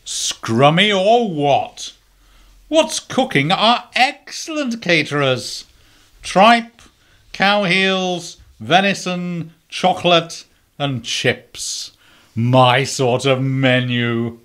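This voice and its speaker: A man's voice: on the high side, animated, very slow, a bit bright, exaggerated voice. A man playing a pompous, greedy villain sheriff in an amateur radio play, gloating over his schemes. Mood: gleeful.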